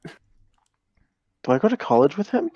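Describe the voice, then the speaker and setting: A man's voice: sits high, huge pitch swings, slow, mellow, husky, dry recording. Young man commenting crudely, dry muffled sound. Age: young adult. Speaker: co-host.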